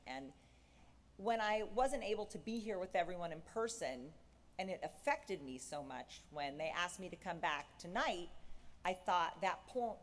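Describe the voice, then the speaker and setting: A woman board member addressing a congregation at a service, heartfelt and informal with a few halting restarts. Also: fairly low pitch. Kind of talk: warm welcome and poem reading at a service. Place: synagogue sanctuary, in person. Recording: long hall reverb, faint background noise.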